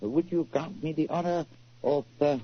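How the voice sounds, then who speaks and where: Man playing high-pitched, husky, deferential art expert. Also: normal speed, no echo, natural voice. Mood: flattering. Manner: courteous, hesitant, ornate. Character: deferential, flattering French art expert, a disguised detective. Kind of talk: 1940s radio acting. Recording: muffled old 1940s radio recording.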